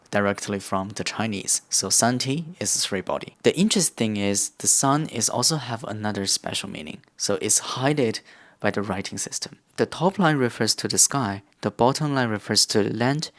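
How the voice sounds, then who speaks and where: Lively young man teaching. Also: fairly low pitch. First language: Korean. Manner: lively, explains step by step. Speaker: language teacher. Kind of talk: explanatory video lesson.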